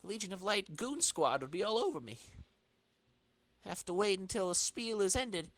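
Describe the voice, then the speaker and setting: Man acting a lively, keyed-up young sidekick. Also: high voice, normal speed, no echo, full character voice. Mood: urgent, incredulous. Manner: exclaiming, slangy, animated. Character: slangy, keyed-up young male sidekick thinking aloud.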